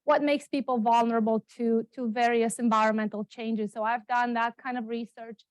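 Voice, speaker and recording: A middle-aged woman from Romania gives a talk at a standing microphone. Her voice sits a little high, and she talks chattily, correcting herself with lots of ums and repeats. The sound is dry and close. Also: little pitch movement, moderate pace, no echo. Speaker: guest speaker, geography professor. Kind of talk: public lecture. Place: meeting room, also streamed on Zoom. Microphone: standing microphone, not held.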